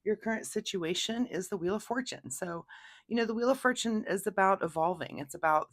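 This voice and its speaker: A woman with a hoarse voice from laryngitis, giving a chatty, rambling tarot reading to her viewers. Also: medium pitch, fairly quick. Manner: chatty, rambling, laughs easily. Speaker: tarot reader. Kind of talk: casual tarot reading to camera.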